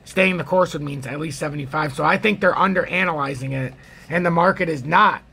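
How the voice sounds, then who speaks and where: A man with an American accent hosts a casual trading video. His voice sits a little high for a man, yet it is husky and full of gravelly fry. He rambles through chart commentary off the cuff and gives his opinions freely. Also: brisk, pushed and bright. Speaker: crypto trading video host.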